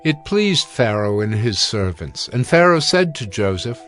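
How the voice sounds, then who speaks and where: A man narrating scripture in a measured, solemn reading. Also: mid-range voice, sing-song, a bit bright, soft background music.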